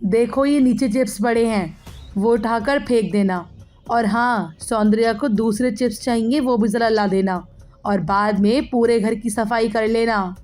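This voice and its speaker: A woman's voice: a little high, a little slow, darkish tone, faint music behind, close to an everyday voice. Woman playing a spiteful, bossy scolding aunt. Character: spiteful, scornful stepmother-like aunt, middle-aged woman.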